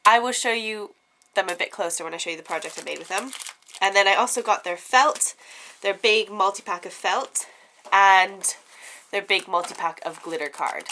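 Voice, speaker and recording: A woman's voice: medium pitch, moderate pace, sharp tone, a little hiss or hum. A young woman with a stuffy head cold, chatting casually and giggling through a craft show-and-tell at home. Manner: chatty, giggly, lots of ums. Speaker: craft vlogger.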